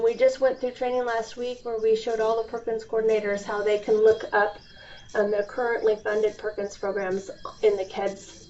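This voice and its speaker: Higher-pitched woman presenting on a muffled call. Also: mellow. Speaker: grant program presenter. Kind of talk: informal instructional presentation on a conference call. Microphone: conference phone call. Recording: dull, lo-fi phone call with background hiss.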